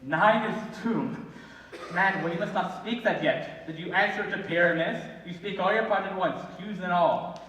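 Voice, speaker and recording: Man acting a bossy carpenter-director, stage Shakespeare, forceful bright voice, reverberant hall recording, faint noise. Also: sits high, normal speed, distant mic. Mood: exasperated. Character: bossy, fussy carpenter directing amateur players. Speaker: student actor in a school play. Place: large indoor school theatre stage.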